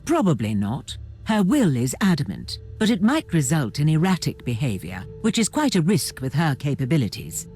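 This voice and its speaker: An actor playing a formal, analytical adviser in a video game, giving precise clinical reports to a commander. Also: male voice, fairly high pitch, wildly swooping pitch, normal speed, few pauses, darkish tone, faint music behind. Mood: clinical, measured. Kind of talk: video game cutscene acting.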